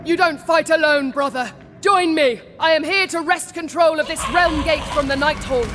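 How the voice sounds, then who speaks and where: An actress playing a righteous, defiant warrior commander in a video game cutscene, solemn and declamatory. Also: female voice, high voice, moderate pace, big-room sound, over music, close to an everyday voice. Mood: resolute.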